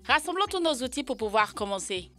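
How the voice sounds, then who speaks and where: A young child in a children's cartoon. The voice is high, over faint music, and comes across as sensible and candid. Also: female voice, big pitch swings, brisk, sharp tone, full character voice. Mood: matter-of-fact. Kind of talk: animated children's cartoon dub.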